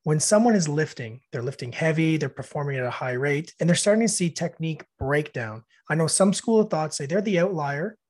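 Host guy, slightly high voice, asks stuff with starts and stops. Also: animated, fairly quick, few pauses, darkish tone, husky. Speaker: interviewer. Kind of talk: asking questions in a podcast interview.

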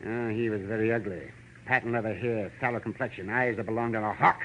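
An actor playing a gruff, middle-aged veteran cartoonist, imperious, fussy and impatient, in 1940s radio drama style. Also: male voice, fairly low pitch, steady tone, fast talker, fairly bright tone, lightly acted. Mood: testy recounting. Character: tall, gruff, crabby veteran male cartoonist. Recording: muffled, noisy vintage radio recording.

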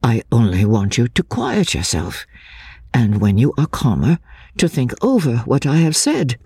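An earnest gentleman hero of a classic novel, voiced by a female reader. He speaks in a husky, dry-recorded voice with plenty of rise and fall, measured, coaxing and gently reassuring. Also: very deep voice, big pitch swings, no room sound, exaggerated voice. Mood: calm, soothing. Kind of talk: dramatic audiobook character reading.

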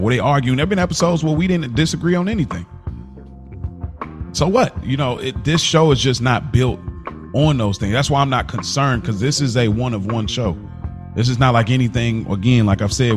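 A man co-hosting a podcast in casual, slangy conversation, often repeating and restating his points. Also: medium pitch. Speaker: podcast co-host.

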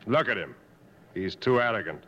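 An actor playing a gruff, wary safari guide, blunt and commanding, in 1950s radio drama style. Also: male voice, on the low side, expressive, normal speed, sharp tone. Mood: suspicious. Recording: muffled, noisy old radio broadcast.

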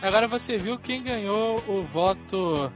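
A man's voice: high-pitched, lively intonation, measured pace. A Brazilian man co-hosting a podcast with blunt, mock-grumpy, sarcastic banter. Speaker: podcast co-host. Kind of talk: casual comic banter. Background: background music.

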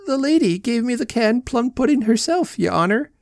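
A man reading a storybook and voicing a weepy old Irish woman with a high, quavering wail. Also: lively intonation, moderate pace, darkish tone, dry recording, clean studio sound. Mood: pleading.

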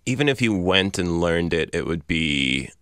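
Deep-voiced thoughtful man, dry close sound. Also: lively intonation, normal speed, fairly bright tone, clear, resonant tone, no room sound. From Iran. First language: Persian. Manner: articulate, thoughtful, elaborate phrasing with frequent fillers. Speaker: poet guest. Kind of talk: reflective interview conversation.

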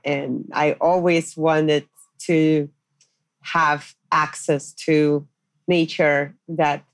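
A middle-aged woman with a Russian accent, hosting a podcast as a dating coach in casual, reflective talk, pausing often with fillers. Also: sits low, dry recording. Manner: reflective, pauses with frequent fillers. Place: quiet farmhouse in the countryside.

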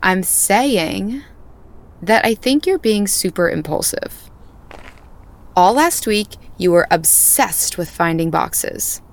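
An actress playing a skeptical, worried friend with a wry, teasing manner in an audio drama. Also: female voice, mid-range voice, animated, takes it slowly.

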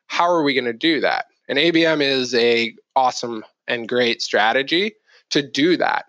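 A man's voice: medium pitch, moderate pace, fairly bright tone, no room sound. A man explaining things conversationally as an interview guest, often posing rhetorical questions, on slightly muffled audio. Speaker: podcast guest, marketing executive.

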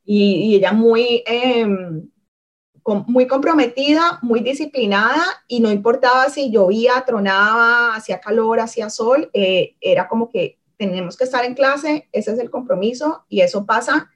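A Colombian woman from Cali with a Cali accent, speaking casually and reflectively as an interview guest, with halting pauses while telling her personal story. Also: on the high side.

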